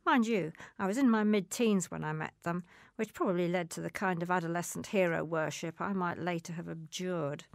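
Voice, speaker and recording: A woman reading a scripted first-person piece aloud in a measured, storytelling way. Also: fairly low pitch, vocal fry, dry recording, studio quality. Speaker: reader.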